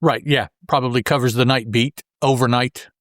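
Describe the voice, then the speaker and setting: A man's voice: medium pitch, fairly even intonation, moderate pace, a bit bright, no room sound, clean studio sound. A man co-hosting a podcast, talking through comic books in a casual, rambling, conversational way. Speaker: podcast co-host. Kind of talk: casual comic book discussion.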